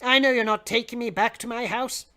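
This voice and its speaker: Man acting out a jittery male passenger, trembling, stammering, pitched high, bright and tense, dramatic storybook reading. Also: fairly even intonation. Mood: anxious. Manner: stammering, halting. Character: nervous, hesitant male passenger, easily intrigued.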